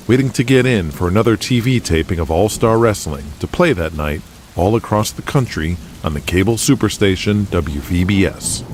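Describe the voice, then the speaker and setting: A man's voice: low-pitched, background noise. A man hosting and narrating a scripted audio drama, reading announcements and story in a presenter's delivery. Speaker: podcast host and narrator.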